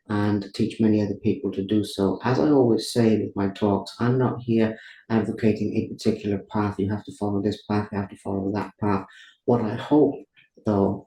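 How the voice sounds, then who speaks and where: A man's voice: fairly low pitch, dark tone. A man with a Welsh accent hosting a podcast interview, effusive and grateful in his introductions and questions. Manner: effusive and grateful, thanking at length. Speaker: podcast host. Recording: echoey room with faint hiss.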